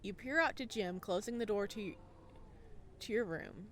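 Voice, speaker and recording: A playful, expressive woman streamer reading a visual novel aloud and adding her own reactions. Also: mid-range voice, normal speed, slight background noise. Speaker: video game streamer.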